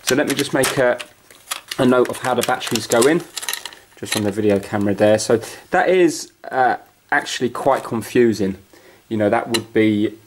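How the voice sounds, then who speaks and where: A man talks casually through a hands-on job, thinking aloud as he goes in a chatty, unscripted way. He is recorded on a camera at home, with clear noise and clicks around his voice. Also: mid-range voice, normal speed. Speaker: host of amateur repair videos. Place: carpeted room at home.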